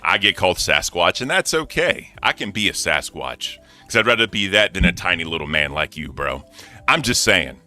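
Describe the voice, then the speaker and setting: A man with a deep, low voice that still swings widely up and down as he talks. His tone is bright and forceful. He co-hosts a casual livestream and sounds defiant and animated. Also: moderate pace, faint music behind. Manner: animated, defiant rant with swearing. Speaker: co-host.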